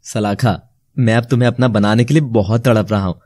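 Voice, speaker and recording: A man voicing a troubled, dramatic nobleman in an animated fairy tale, with a slightly husky voice. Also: lowish voice, a little fast, dry recording. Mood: yearning.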